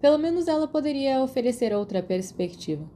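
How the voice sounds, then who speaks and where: A woman's voice: high-pitched, lively intonation, fairly quick, dark tone, a little hiss or hum. A woman with a Brazilian accent reading scripted fiction as a podfic narrator.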